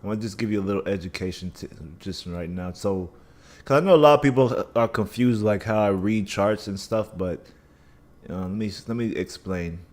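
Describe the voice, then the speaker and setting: A man's voice: on the low side, moderate pace, a little mellow. A man hosting a casual live stream, talking through chart analysis with a scratchy voice and a dry mouth, thinking aloud with many restarts. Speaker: livestream trading host.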